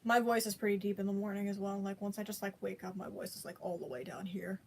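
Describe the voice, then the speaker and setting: A woman's voice: mid-range voice, flat delivery, fast talker, a little mellow. A woman chatting casually to her viewers, rambling with many fillers and restarts. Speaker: vlogger.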